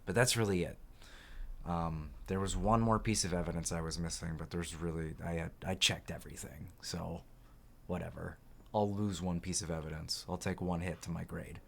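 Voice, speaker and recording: A raspy, hoarse young man casually commenting on a game playthrough, with lots of ums and halting restarts. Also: deep voice, fairly even intonation. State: hoarse from illness. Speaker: let's play host. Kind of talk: game playthrough commentary, reading game text aloud.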